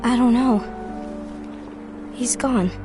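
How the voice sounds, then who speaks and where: A woman's voice: a little high, takes it slowly. An actress playing an earnest, anxious young woman who leads a union in a fantasy game. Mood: troubled, hesitant. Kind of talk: animated fantasy game cutscene acting. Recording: music behind the voice.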